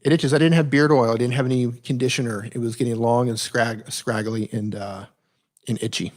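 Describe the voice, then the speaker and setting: Man, middle-pitched voice, live-stream host, casual chat, direct advice, self-correcting. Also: steady tone, fairly quick. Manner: reads viewer questions aloud, then answers conversationally.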